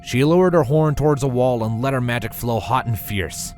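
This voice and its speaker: A man's voice: mid-range voice, brisk, music in the background. A man narrating a scripted story as a reader. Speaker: fan fiction reader.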